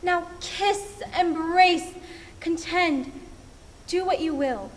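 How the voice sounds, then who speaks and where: Lovesick young Shakespearean heroine played by a student actress, passionate, fretful, very slow verse, echoing hall. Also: female voice. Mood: fretful, longing. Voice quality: high-pitched. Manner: slow, dramatic verse delivery. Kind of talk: stage Shakespeare monologue. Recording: echoing hall, distant microphone.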